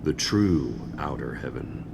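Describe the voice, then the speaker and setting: An actor playing a calm, scheming middle-aged veteran soldier in a video game cutscene, speaking in a measured, portentous, fatalistic way. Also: male voice, rumbling low, gravelly, full character voice. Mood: grave, resolute. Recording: noisy, long reverberant hall sound.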